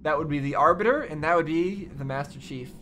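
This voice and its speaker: A young man hosting a reaction video, giving casual game commentary in a halting, repetitive way as he thinks aloud. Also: on the high side, pushed and bright.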